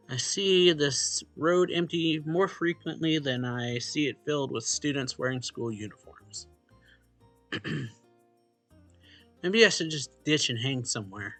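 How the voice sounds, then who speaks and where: A man's voice: mid-range voice, a bit bright, no echo. A man hosting a let's play, reading a visual novel's narration and dialogue aloud and casually acting out the lines.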